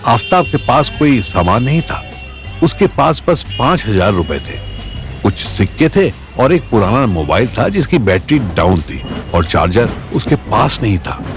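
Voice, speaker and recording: A man's voice: mid-range voice, sing-song, unhurried, soft background music. A man narrating a Hindi audio story in a dramatic scripted style.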